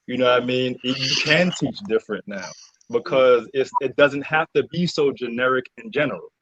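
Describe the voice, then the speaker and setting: A man talking casually as an interview guest, halting and searching for words, often interrupting himself. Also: mid-range voice, a bit bright, no room sound, lo-fi sound. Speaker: interview guest, cast member. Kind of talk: casual conversational interview talk.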